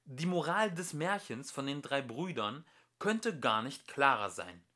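A man reading a storybook aloud as an amateur narrator, with expressive, emphatic delivery. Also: mid-range voice, a little slow. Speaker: amateur audiobook reader.

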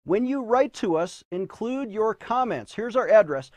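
American male religious TV host, high and swooping delivery, theatrical emphasis, dry studio sound. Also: animated, measured pace, a little mellow, dry recording. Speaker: host and preacher of a religious news show. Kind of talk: presenting viewer letters with preaching commentary. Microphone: lapel mic.

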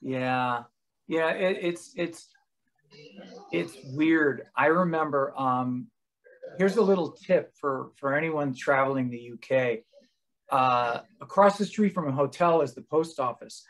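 A middle-aged American man hosting a casual video-call chat, speaking haltingly and repeating words as he goes. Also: mid-range voice, fairly bright tone, poor audio. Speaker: host and touring musician. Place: room at home with bookshelves.

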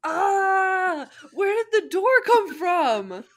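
A woman voicing an excitable, whiny young male ninja in an overdramatic, exclaiming way for a fan fiction reading. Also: very high voice, very slow, pitched above the performer's own voice, exaggerated voice. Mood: shocked.

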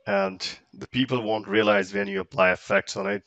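A man explaining software step by step in casual, halting, English. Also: lowish voice, fairly even intonation, no echo, lo-fi sound. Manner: halting, step-by-step, non-native English phrasing. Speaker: tutorial presenter. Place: close, dry indoor voice-over for a screen recording.

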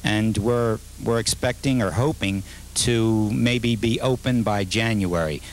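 A middle-aged restaurant manager interviewed on camera, rambling with many fillers and restarts. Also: male voice, lowish voice, unhurried, slight background noise, poor audio. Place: indoor dinner theater stage. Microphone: handheld mic.

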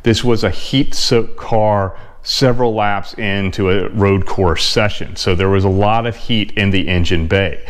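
Guy in his 40s, lowish voice, breaking down intake test numbers in his garage, steady and matter-of-fact. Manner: measured, technical, plain-spoken. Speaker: car channel host. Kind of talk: explaining test results to camera. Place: home garage, indoors.

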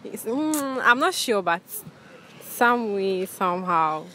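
A young woman answering a street interview outdoors with soft, hesitant replies. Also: medium pitch, big pitch swings, slow, soft background music. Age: young adult. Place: outdoors on a university campus. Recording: noisy outdoor street recording.

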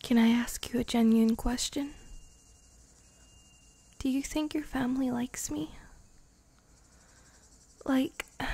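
A woman voicing a devoted vampire girlfriend in an intimate ASMR roleplay, breathy and often slipping into a whisper. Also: fairly high pitch, no echo, heavily acted. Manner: slow, intimate, hushed, sighing. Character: devoted, lovestruck vampire bride, tipsy and intimate.

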